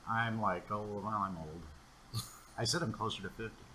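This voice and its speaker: A man in his forties co-hosting a casual sales podcast, upbeat and promotional, pitching coaching and giving pep advice. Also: normal speed, bright, forceful tone, poor audio. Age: 40s. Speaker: podcast co-host.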